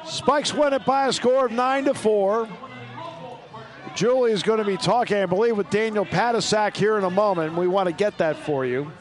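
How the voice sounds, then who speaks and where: A man announcing a baseball game on radio, giving scores and reading sponsor lines in a brisk announcer delivery. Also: high-pitched. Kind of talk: radio sports broadcast.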